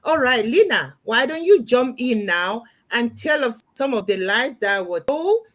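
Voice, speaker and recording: A woman's voice: a little high, sing-song, a little slow. A middle-aged woman from Cameroon hosting a live online panel, chatting to viewers and handing over to guests. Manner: chatty, warm asides to viewers. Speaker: host of a live panel show.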